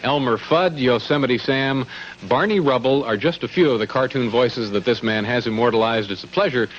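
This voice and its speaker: A man's voice: medium pitch, normal speed, slight background noise. A man hosting a talk show, hesitant with many fillers. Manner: hesitant, many uhs, laughs easily. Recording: lo-fi old TV audio with studio audience.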